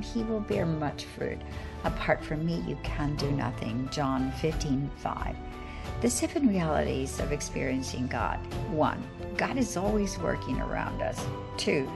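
A woman reads a scripted Bible study devotional in a measured, enunciated manner. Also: mid-range voice, gravelly. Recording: background music under voice. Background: music.

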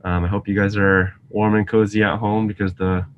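A man's voice: on the low side, little pitch movement, fairly quick, muffled recording, slight background noise. Chatty young man teaching over video call. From Singapore. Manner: friendly, chatty, frequent ums, asks students questions. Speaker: graduate student science outreach teacher. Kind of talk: casual online science lesson. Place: lab office with shelves, indoors. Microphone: video call webcam.

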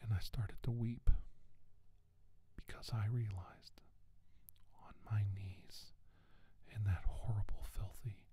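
A middle-aged man playing a solemn, haunted doctor who survived an abduction, in a slow, halting, whispered ASMR monologue. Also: on the low side, fairly even intonation, warm tone, husky, heavily acted. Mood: haunted, intense.

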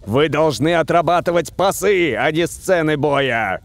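An actor voicing a stern, middle-aged male football coach in a dubbed cartoon, with a gruff, commanding and dismissive manner. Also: a little high, lively intonation, a little slow, no room sound.

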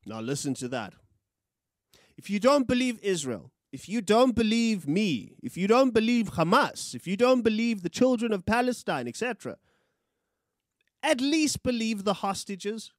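A young man with a South African accent talks fast and heated about the news. His voice sits high and leaps around a lot. He curses freely, and the studio sound is close and dry. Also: dry recording. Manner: animated, profane, gesturing with his hands. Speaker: podcast co-host. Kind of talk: opinionated news commentary. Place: small studio room. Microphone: studio mic on a boom, with headphones.

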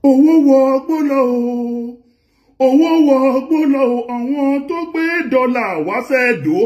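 A man's voice: extremely high pitch, animated, normal speed, darkish tone, highs cut off. Man, Nigerian accent, middle-aged, rhythmic taunting chant, indoor phone recording. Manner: rhythmic, mocking, sing-song repetition. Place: small room indoors, seated.

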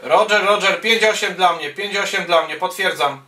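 Male ham radio operator, high voice, procedural calls mixed with casual remarks, hesitant phrasing, off-mic. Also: normal speed, sharp tone. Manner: procedural, spells callsigns in phonetic words, hesitant between phrases. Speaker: amateur radio operator and YouTuber. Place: small wood-panelled radio room indoors. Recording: roomy, distant microphone sound.

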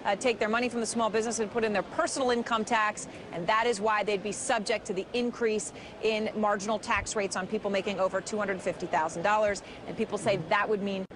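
A woman in her thirties anchoring live business news in a TV studio, asking brisk, poised questions. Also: mid-range voice, steady tone, background noise.

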